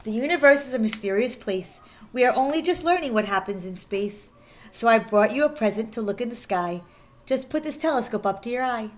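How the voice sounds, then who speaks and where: Woman, fairly high voice, teacher, expressive read-aloud, sing-song rhyming delivery, stressed key words. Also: brisk. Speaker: teacher reading aloud to pupils. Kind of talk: expressive children's picture book read-aloud.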